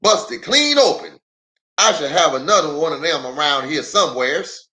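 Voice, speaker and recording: A plain-spoken older country mechanic from a storybook, played by a man reading aloud. He is helpful and folksy, with his pitch lifted and moving in a lively way. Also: moderate pace, roomy sound. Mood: easygoing, helpful. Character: older country uncle, male filling-station mechanic, helpful.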